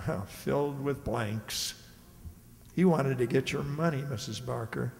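A man's voice: mid-range voice, measured pace, gravelly, darkish tone. An older man plays a matter-of-fact police inspector in an old-time radio mystery. His mid-range voice explains things steadily and brushes off fears. The hall echoes around him. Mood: explanatory. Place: stage in a community hall. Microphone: stand microphone on stage. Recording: echoey hall, live stage performance.

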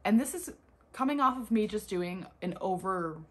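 A young woman vlogger chatting casually and expressively to camera in a husky voice. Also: mid-range voice, expressive, moderate pace. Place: small furnished room lined with bookshelves, indoors.

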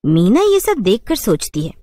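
A woman's voice: medium pitch, wildly swooping pitch, moderate pace, dark tone, no room sound. A woman storyteller reading scripted narration for a children's cartoon tale.

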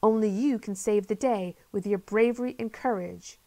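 A woman's voice: mid-range voice, moderate pace, mellow, natural voice. Woman voicing a solemn heroic rabbit. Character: wise, heroic rabbit speaking through its eyes.

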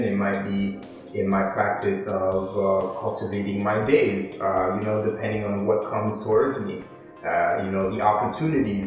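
A man in his thirties from Haiti, an artist answering interview questions slowly and thoughtfully, with many ums and restarts. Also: fairly low pitch. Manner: slow, philosophical, with frequent ums and restarts. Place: art studio with paintings, indoors. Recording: very echoey room with music behind.

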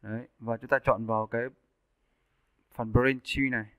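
A man giving a casual, step-by-step software tutorial as an animation instructor. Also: medium pitch, flat delivery, moderate pace, clean tone, no echo. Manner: informal step-by-step explaining. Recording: muffled narrowband phone-like audio.

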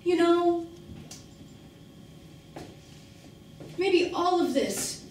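A woman's voice: extremely high pitch, takes it slowly, darkish tone. A woman performing a dramatic monologue as an embittered, struggling working-class mother, defensive and pleading, with emphatic, self-questioning delivery. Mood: wounded, regretful. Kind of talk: dramatic stage monologue acting. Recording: very echoey room, distant mic, faint noise.

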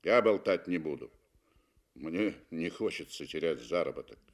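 A man's voice: extremely low pitch, lively intonation, moderate pace. A man voicing a cool, curt British male safari hunter in a radio reading, blunt, clipped and assured. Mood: coldly firm.

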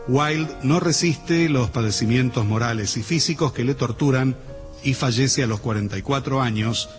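Man, Argentine accent, radio theatre presenter, formal literary narration, measured and solemn, music behind. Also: mid-range voice. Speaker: presenter introducing a radio play.

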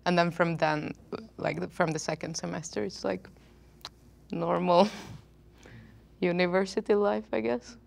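A young woman from Hungary, a student on a panel, soft-spoken and hesitant, searching for words on a lapel mic. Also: fairly low pitch, fairly even intonation, unhurried, creaky. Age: young adult. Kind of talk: casual panel chat.

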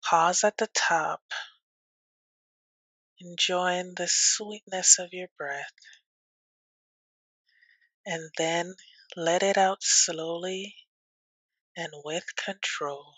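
A woman teaching yoga nidra as a guided meditation, speaking gently and soothingly with long pauses. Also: fairly low pitch, fairly even intonation, dry recording, poor audio.

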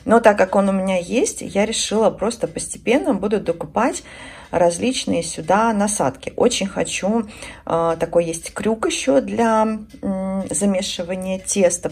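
Low-pitched creaky woman vlogger, chatty. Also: darkish tone. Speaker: beauty and lifestyle vlogger. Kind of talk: casual chat to viewers. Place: indoors at home.

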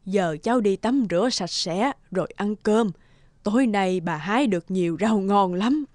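A woman voicing a loving, poor old grandmother in a cartoon, gentle and consoling. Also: mid-range voice, moderate pace, no echo, clean studio sound, natural voice. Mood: tender concern.

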